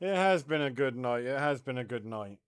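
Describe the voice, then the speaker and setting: A man's voice: medium pitch, animated, brisk, background noise. A man chatting casually as a CB radio operator.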